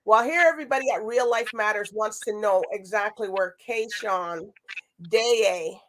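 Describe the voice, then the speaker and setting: A woman hosts a casual talk show. Her voice sits at an ordinary middle pitch. She is chatty and wordy as she addresses viewers and guests. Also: moderate pace, fairly bright tone, slight background noise, low-quality recording. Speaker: show host.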